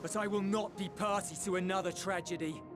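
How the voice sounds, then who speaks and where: An actor playing a stern, embittered soldier in a video game cutscene, speaking with measured, restrained anger. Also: male voice, sits high, steady tone, music in the background, natural voice. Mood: bitter.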